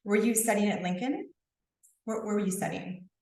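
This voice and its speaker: A woman's voice: medium pitch, moderate pace, a little mellow. A woman from the United States giving a casual talk to students about a university, chatting and asking the audience questions. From United States (St. Louis, Missouri). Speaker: university recruitment and admissions officer. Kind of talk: informal presentation to a student club. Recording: roomy sound, mic at a distance.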